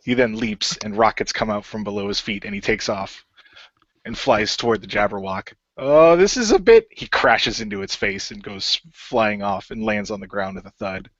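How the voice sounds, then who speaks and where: Male player-narrator, lowish voice, improvised fantasy action storytelling, dry muffled home-style recording. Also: flat delivery, normal speed, fairly bright tone, no room sound. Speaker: player narrating own character.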